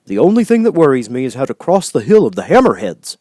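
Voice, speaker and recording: A man's voice: medium pitch, wildly swooping pitch, fast, mellow, dry recording, studio quality, pitched above the performer's own voice. A man voicing a proud, cheerful living scarecrow in a storybook, eager and exclaiming.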